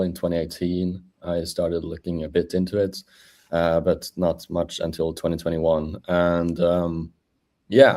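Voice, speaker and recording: A man, chatting as a guest over a video call in a halting way with many fillers. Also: deep voice, a little mellow. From Norway. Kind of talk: casual interview chat.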